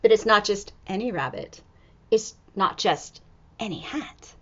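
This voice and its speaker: Woman, children's read-aloud, swooping expressive pitch, unhurried delivery, hushed asides, slightly dull lo-fi recording. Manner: expressive, exclaiming, drawn-out words with hushed asides. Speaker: storyteller and teacher reading a picture book aloud.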